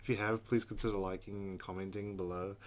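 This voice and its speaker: A man's voice: fairly low pitch, little pitch movement, fast, very noisy. A man doing a let's play, reading game narration aloud expressively and adding casual, informal asides. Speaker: let's player. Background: game music.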